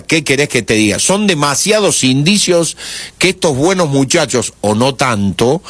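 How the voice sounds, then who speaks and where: A man's voice: fairly high pitch, fairly bright tone, no room sound. A man with an Argentine accent giving pointed, conversational sports commentary on radio, full of rhetorical questions. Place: radio studio.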